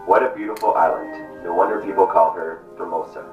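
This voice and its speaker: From far off in an echoey room comes a man acting an earnest, devout missionary doctor from a puppet play. The voice is low and he declaims formally. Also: steady tone, fairly quick, lightly acted. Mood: prayerful, awed. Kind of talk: stage puppet show acting. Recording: distant, roomy, muffled stage recording with music.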